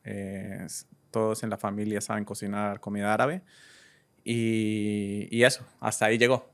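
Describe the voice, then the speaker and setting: A Colombian man with a Cúcuta accent talks easily in an interview. His voice is low and smooth, and he pauses often on "eh" as he searches for the next word. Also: flat delivery, fairly bright tone. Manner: easygoing, hesitant, many "eh" pauses. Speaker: guest DJ and entrepreneur. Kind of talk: relaxed interview answers.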